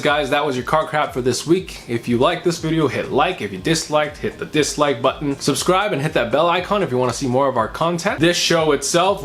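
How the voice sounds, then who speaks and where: A man's voice: medium pitch, a bit bright. A young American man hosting a weekly car news roundup in an upbeat, casual way with jokey asides. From United States. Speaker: host.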